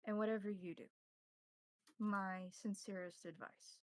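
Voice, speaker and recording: A woman's voice: medium pitch, steady tone, no room sound, natural voice. A woman voicing a courtly, devout vampire priest who tutors newcomers formally in a tabletop game. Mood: solemn, instructive. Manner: formal, measured, instructive.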